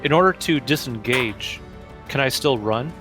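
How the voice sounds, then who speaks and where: A man's voice: medium pitch, animated, normal speed, sharp tone. A man chatting casually as a player in a tabletop role-playing game. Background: music.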